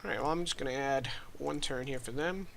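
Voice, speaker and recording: A man in his thirties with a nasal, congested voice, running a tabletop game as game master with relaxed, rambling asides. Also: medium pitch, a little fast, creaky, slight background noise. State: congested from allergies.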